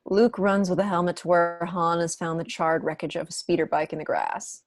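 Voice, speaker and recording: Woman reading stage directions, lowish voice, pretty dry close sound. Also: flat delivery, normal speed, no echo. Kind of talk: scripted narration at a table read. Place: indoor room at home, video call.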